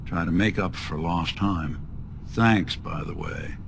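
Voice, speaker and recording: An actor playing a weary, wry synthetic detective in a video game, with hardboiled, slangy phrasing. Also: male voice, sits low, slow pace, vocal fry, a little hiss or hum, heavily acted. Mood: reflective. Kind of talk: video game dialogue acting.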